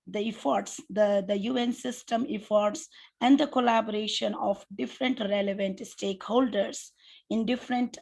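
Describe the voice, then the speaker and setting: A woman with a Nepali accent moderating a conference session online, speaking in a formal, measured way from prepared remarks. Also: mid-range voice, vocal fry, a little mellow.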